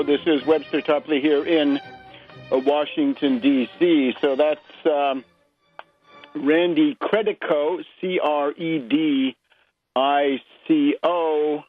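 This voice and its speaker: A fairly high-pitched American man hosts a political radio programme with music behind him. His delivery is measured and halting, carefully setting out details for listeners. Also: muffled recording. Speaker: radio show host. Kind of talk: political radio interview and commentary.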